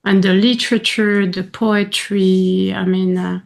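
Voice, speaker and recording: A woman from France with a French accent, a guest chatting in an interview, warm and chatty with many fillers. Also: medium pitch, level tone, measured pace, creaky. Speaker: guest, painter and advocate. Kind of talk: casual interview conversation.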